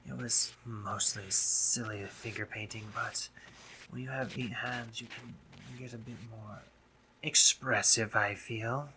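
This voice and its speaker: A man playing a doting, teasing gentlemanly spider-monster painter in a romantic roleplay audio drama, with genteel phrasing, hesitant pauses and drops into whispers. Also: mid-range voice, fairly even intonation, a little hiss or hum, heavily acted, poor audio. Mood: wistful, modest.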